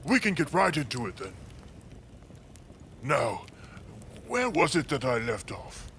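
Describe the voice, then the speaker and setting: An actor voicing a sleepy, kindly storyteller creature with a hesitant, genteel, musing manner, in an audio drama. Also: male voice, medium pitch, wildly swooping pitch, slow pace, pushed and bright, a little hiss or hum, exaggerated voice. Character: Yuben Kai, big-nostriled sleepy kindly male storyteller creature.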